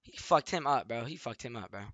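A man's voice: mid-range voice, lively intonation, a little fast, a bit bright, no echo, highs cut off. A young man in casual video game roleplay, talking in profane, repetitive street slang. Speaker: roleplay gamer.

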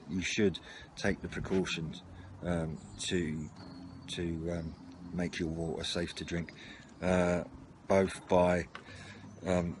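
Man, low voice, flat intonation, halting unscripted presenter, matter-of-fact, outdoor camera recording. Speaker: presenter of outdoor gear videos. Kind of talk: unscripted product walkthrough. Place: outdoors at a wooden garden table by a house. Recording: noisy outdoor camera audio.

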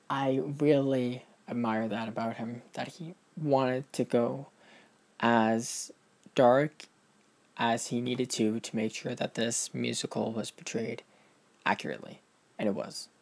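A man talks through a film review in a loose, casual vlog style, full of praise. His delivery is emphatic and gushing, and he often stops and restarts mid-sentence as he hunts for words. His voice sits at a middling pitch. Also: steady tone, slow, pauses often, a little mellow.

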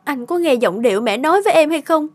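A woman's voice: sits high, animated, fast. A woman voicing young women in a cartoon, whiny and coaxing. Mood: complaining. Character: young wife and scheming young mistress. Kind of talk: cartoon dubbing.

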